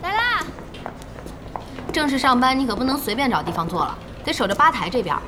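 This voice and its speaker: A woman playing a bossy, outspoken internet-cafe owner and passionate gaming fan in an audio drama, with a brisk manner. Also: high voice, big pitch swings, very noisy. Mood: brisk, businesslike. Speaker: voice actor in a radio drama. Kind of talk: Chinese audio drama acting.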